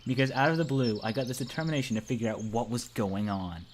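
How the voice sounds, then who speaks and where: A man's voice: medium pitch, lively intonation, fast, slight background noise. A man reading a visual novel aloud among friends, narrating informally and tripping over the script now and then. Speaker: narrator of a let's play. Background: faint game music.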